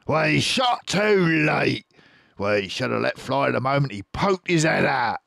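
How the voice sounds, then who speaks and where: A gruff, blustering storybook farmer, acted out by a man reading aloud. He speaks loudly in a raised pitch, drawing his words out slowly and heavily. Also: big pitch swings, no echo, studio quality, full character voice. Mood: angry, regretful. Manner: slow, loud, blustering. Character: Boggis, gruff, blustering male farmer with rustic temper.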